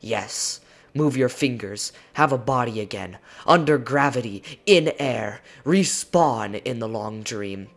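A man narrating a poem as a dramatic reading, with theatrical delivery and deliberate pauses. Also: mid-range voice, expressive, creaky. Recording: music behind the voice.

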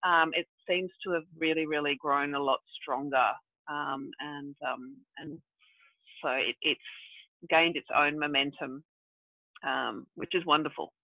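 A woman's voice: sits low, fairly even intonation, a little slow, no room sound. A woman who grew up in Brazil and South Africa, thinking aloud as a guest on a video call, with many fillers. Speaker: guest, regenerative development practitioner. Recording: tinny phone-like dry audio.

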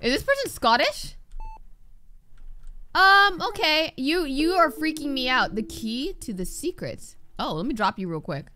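A woman's voice: high-pitched, unhurried. A young adult woman giving casual, reactive gameplay commentary, talking to the game as she plays and sometimes reading aloud. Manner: animated, reactive, talks to the game. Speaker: gaming streamer.

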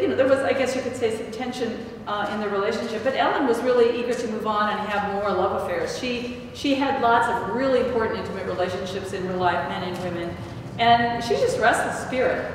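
A woman's voice: a little high, fairly quick, few pauses. An older woman speaking on a panel and answering audience questions, conversational, with frequent restarts and self-corrections. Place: large echoey hall with stone walls. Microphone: table mic, picked up from a distance. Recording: echoey hall, distant mic, audible noise.

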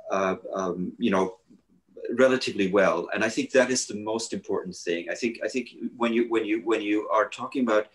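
A man, a guest architect speaking haltingly over a video call from a living room. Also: on the low side, rough recording. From Switzerland. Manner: halting, many hesitations and restarts. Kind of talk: panel conversation.

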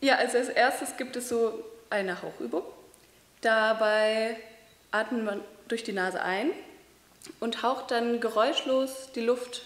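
Young woman explaining voice exercises, echoey hall. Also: a little high. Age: young adult. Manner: explanatory, with pauses and fillers. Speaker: voice training student. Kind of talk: demonstrating voice exercises. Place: large bright hall with wooden floor.

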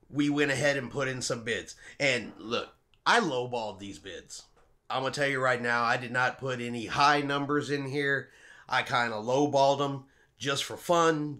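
A man's voice: mid-range voice, fairly bright tone. A middle-aged man hosting a cruise news vlog, chatting upbeat and casual to the camera from a room at home. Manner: upbeat, chatty, talks with his hands. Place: home room with shelves and a wall map, indoors.

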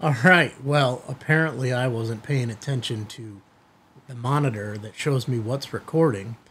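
A middle-aged man hosting a hobby video, giving a product demonstration to camera in a husky voice. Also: medium pitch, normal speed, a bit bright, a little hiss or hum. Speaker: host of a ham radio video channel. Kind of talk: product test demo to camera. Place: small indoor studio room.

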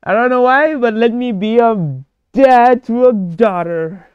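A man's voice: extremely high pitch, a little slow, smooth, no echo, highs cut off. A young man casually commenting on a story game with playful jokes and stumbling repeats. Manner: joking, playful, repeats words. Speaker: gaming video creator. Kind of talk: casual let's play commentary.

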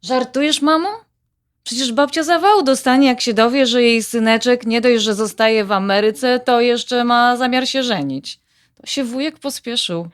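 A woman's voice: fairly high pitch, moderate pace, natural voice. An actress playing a worried, wry adult daughter confiding her troubles in a naturalistic audio drama. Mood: exasperated, wry.

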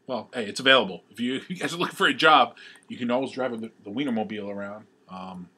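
A man co-hosting a podcast at a kitchen table, giving his views in a halting way and repeating words as he goes. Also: medium pitch, pushed and bright, husky. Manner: hesitant, repeats words when giving opinions. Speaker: podcast co-host. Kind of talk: casual chat. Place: kitchen table at home, indoors.

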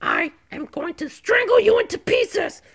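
Woman voicing a very high-pitched, dramatic girl critter. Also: lively intonation, a little slow, creaky, exaggerated voice. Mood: indignant. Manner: loud, indignant, exclaiming.

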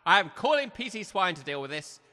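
A man's voice: high-pitched, big pitch swings, fairly quick, sharp tone, exaggerated voice. A man playing a pompous, scheming village major with a clipped, theatrical delivery in a comedy audio drama. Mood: indignant alarm.

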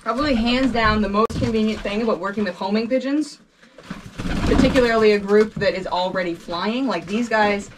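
A woman's voice: medium pitch, moderate pace. A woman in her thirties from Canada, explaining things casually to camera as a homesteader. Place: farm coop and yard.

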